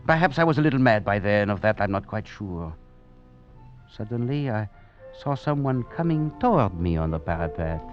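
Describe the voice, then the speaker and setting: Grandiloquent fugitive rogue, low-pitched and highly animated, golden-age radio drama. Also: male voice, moderate pace. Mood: dramatic narration. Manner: theatrical, grandiloquent. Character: roguish, swaggering male thief and adventurer on the run. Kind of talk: 1940s-50s radio drama acting. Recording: muffled old radio recording with music behind.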